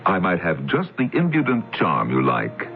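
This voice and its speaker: A teasing, charming young suitor. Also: male voice, low-pitched, huge pitch swings, moderate pace, gravelly, over music, heavily acted. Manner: teasing, playful. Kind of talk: 1970s radio drama acting. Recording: tinny old radio broadcast.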